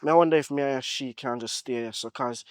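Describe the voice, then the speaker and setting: Smooth, uneasy Jamaican underling played by a man. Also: mid-range voice, a little fast, no room sound, clean studio sound, natural voice. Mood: nervous, hesitant. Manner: hesitant, agreeable, faltering. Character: deferential, uneasy gang underling with bad news.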